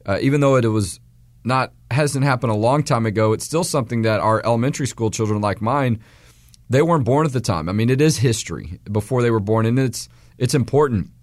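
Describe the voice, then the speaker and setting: Hoarse rambling forties radio host. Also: male voice, mid-range voice, brisk, no room sound, studio quality. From Texas, US. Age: 40s. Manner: rambling, many restarts and fillers. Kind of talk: casual radio talk.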